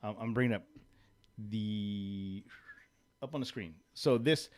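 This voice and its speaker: A man's voice: fairly low pitch, slow pace, a little mellow. A man co-hosting a casual podcast, rambling through his thoughts with frequent restarts and casual swearing. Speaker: podcast co-host. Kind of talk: casual chat.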